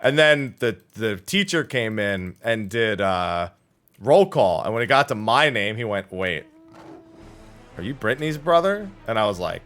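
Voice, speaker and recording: A man chatting casually as a livestream host, telling anecdotes in an animated, profane way and acting out the dialogue. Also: fairly low pitch, a little slow, faint music behind.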